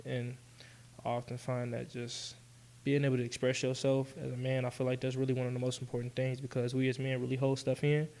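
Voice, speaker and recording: A man's voice: medium pitch, monotone, fairly quick, a little mellow. A man who works as an electrician, speaking earnestly on a discussion panel, often repeating himself with "you know".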